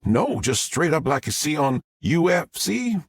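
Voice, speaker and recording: A man voicing an excitable middle-aged Southern mother who rambles through her story in a drawl. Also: a little high, unhurried, no echo, studio quality, pitched above the performer's own voice, exaggerated voice. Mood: excited. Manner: rambling, breathless storytelling with restarts.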